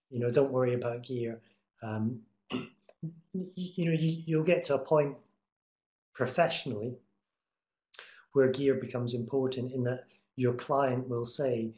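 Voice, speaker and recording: Middle-aged man, even monotone delivery, casual encouraging mentor, webcam in an echoey room. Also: mid-range voice. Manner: casual, encouraging, many fillers and restarts. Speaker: guest speaker, TV promo editor. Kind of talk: online talk answering students' questions. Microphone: webcam on video call. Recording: echoey room over video call.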